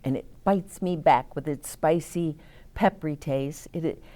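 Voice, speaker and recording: A woman reading picture books aloud to children as a storytime host, in a deliberate, explaining way. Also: low voice, mellow. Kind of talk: expressive read-aloud for children with asides to listeners.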